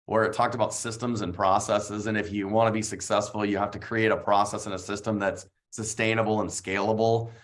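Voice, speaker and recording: Earnest reflective man, somewhat low voice. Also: a bit bright. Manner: reflective, earnest, with frequent fillers. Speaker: guest, real estate agent. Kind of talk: casual interview chat.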